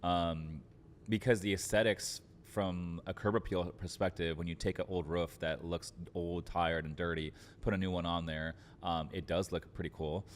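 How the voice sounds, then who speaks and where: Low deep guy voice, podcast guest giving biz tips casually, bit of rumbly noise behind. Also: fairly even intonation, moderate pace. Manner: informal, frequent fillers like "like" and "literally". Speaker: podcast guest, real estate entrepreneur. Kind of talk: conversational business advice.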